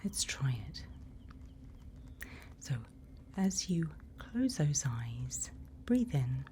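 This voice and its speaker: A woman performing a whispered ASMR roleplay as a calm night-watch guide, breathy, instructive and reassuring, close to the microphone. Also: sits low, drawn-out words. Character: calm female night-watch guide in a dark monster-filled forest. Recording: faint background ambient noise.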